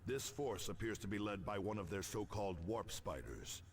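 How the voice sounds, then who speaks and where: An actor playing an authoritative, grave space marine captain who speaks formally in a video game transmission. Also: male voice, fairly low pitch, animated, normal speed. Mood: grave, commanding. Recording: game audio with faint noise.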